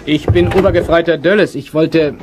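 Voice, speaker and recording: A man's voice: a little high, steady tone, a little fast, background noise, natural voice. A man playing an earnest, hesitant young soldier in a radio drama. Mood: hesitant, pleading. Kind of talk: German radio drama acting.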